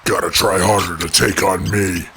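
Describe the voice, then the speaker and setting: Deep gravelly raptor fighter, played by a man. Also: fairly even intonation, moderate pace, background noise. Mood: taunting. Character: hulking male raptor fighter, formal and courteous.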